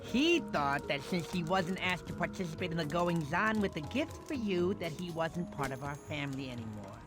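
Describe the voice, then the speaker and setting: A man voicing a goofy, affectionate sloth uncle who rambles and stammers theatrically as he narrates in an animated game. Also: fairly high pitch, normal speed, natural voice. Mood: doting, theatrical. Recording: music behind the voice.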